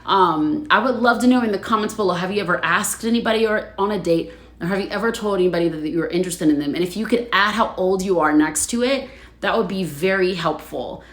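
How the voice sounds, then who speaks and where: A woman's voice: mid-range voice, fast talker, a bit bright, echoey room. A woman hosting a casual livestream, chatting with viewers, laughing often and reading their comments aloud. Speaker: livestream host.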